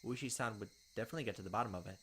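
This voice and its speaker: A man reading a visual novel's text aloud as a let's play narrator, dramatic, with quick asides of his own. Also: fairly low pitch, a bit bright, slight background noise.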